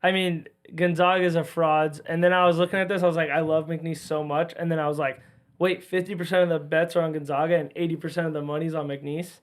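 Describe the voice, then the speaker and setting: Young man, rapid talker, insistent, casual betting chat, slightly high voice. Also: level tone. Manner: insistent, talks fast, hypes his picks. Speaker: podcast co-host.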